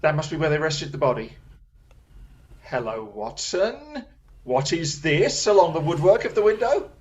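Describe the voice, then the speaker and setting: A keen, assured gentleman detective as acted out in an audio drama, speaking in formal, precise phrases. He takes command with theatrical confidence, at a medium pitch. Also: sing-song, moderate pace, dull audio, close to an everyday voice. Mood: tense, excited.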